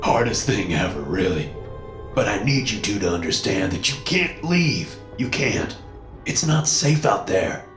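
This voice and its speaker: A man's voice: fairly low pitch, sing-song, unhurried, fairly bright tone, echoey room, soft background music, voice pushed up. A man doing a tearful, controlling father. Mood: stern. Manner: raw, strained, pleading. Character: tearful middle-aged father, fearful and controlling.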